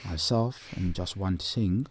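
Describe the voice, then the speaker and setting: A calm Sikh man who guides pilgrims to safety , played by a male actor. His voice sits low, and he gives instructions earnestly, as in a radio play. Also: slow pace, husky, heavily acted. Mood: urgent, instructing. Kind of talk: audio drama dialogue.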